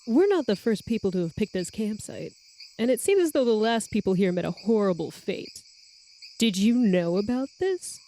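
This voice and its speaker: A woman's voice: medium pitch, expressive, moderate pace, warm tone, a little hiss or hum, lightly acted. A woman playing a suspicious, sarcastic female archaeologist in a tabletop game, with a pointed manner. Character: sardonic, suspicious female archaeologist adventurer, bristly and insistent.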